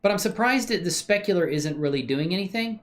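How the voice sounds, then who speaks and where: A man's voice: sits high, brisk. A man livestreaming his 3D modeling work, thinking aloud in a casual, slangy way and answering questions from chat. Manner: relaxed slangy talk with many fillers.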